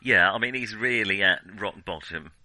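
A man's voice: lowish voice, normal speed, pushed and bright, no room sound. A man hosting a film podcast in casual conversation, with a wry, hesitant delivery. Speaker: podcast host.

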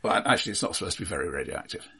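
A man's voice: low voice, big pitch swings, racing, bright, forceful tone, slightly rough. A man in casual film podcast conversation, with hesitant starts and dry understatement. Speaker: film discussion podcast host. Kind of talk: casual film review chat.

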